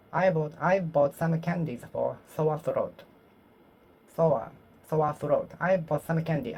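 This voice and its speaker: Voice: medium pitch, warm tone, poor audio. An English learner whose first language is Japanese, practising speaking alone, repeating phrases and correcting themselves as they go. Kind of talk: solo speaking practice, talking to oneself.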